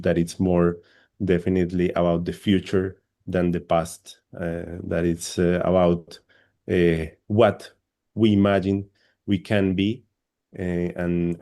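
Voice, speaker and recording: A man's voice: low voice, dark tone. A man from Colombia, an English speaker, giving an academic panel presentation over a video call, hesitant and repeating words often.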